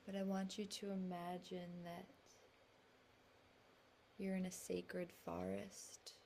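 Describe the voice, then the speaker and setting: Very slow-paced woman guiding meditation. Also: fairly low pitch, little pitch movement, vocal fry, a little hiss or hum. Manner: soothing, gentle, with drawn-out pauses. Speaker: meditation guide. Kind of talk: guided meditation. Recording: music behind the voice. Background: meditative instrumental music.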